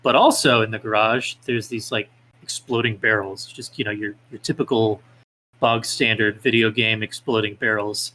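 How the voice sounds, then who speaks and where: A man's voice: on the low side, fairly even intonation, moderate pace, sharp tone, rough recording. A man being interviewed as a guest, talking casually with hesitant phrasing and lots of filler words. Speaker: podcast guest, independent game developer.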